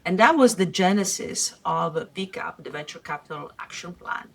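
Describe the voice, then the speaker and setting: A middle-aged woman with a slight Italian accent, from Italy, explaining her career calmly as a guest in a podcast interview, pausing often. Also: fairly low pitch, creaky. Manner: measured, explanatory, with frequent "uh" pauses. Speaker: guest investor.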